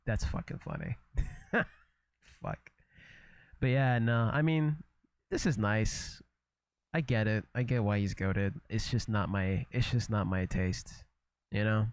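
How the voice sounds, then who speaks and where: A young man from Canada with a very deep, gravelly voice talks off the cuff on a livestream. His pitch rises and falls a lot as he swears, restarts and trails off. The sound is close and dry. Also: slow pace, pauses often, no room sound. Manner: loose and profane, trailing off, repeating himself. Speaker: livestreamer reacting to a video. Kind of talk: casual reaction chat.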